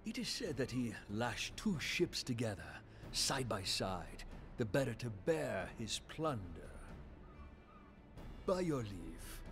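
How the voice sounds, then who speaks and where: An actor playing a shrewd, deferential military marshal in a fantasy game, speaking formally, deliberately and courteously. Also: male voice, medium pitch. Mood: courteous, assured. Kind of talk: fantasy video game cutscene acting.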